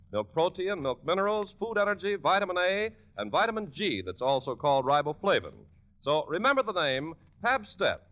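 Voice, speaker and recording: An American male announcer delivers scripted copy in polished 1940s radio style. His fairly high voice rises and falls emphatically like a salesman's, on a dull, band-limited vintage recording.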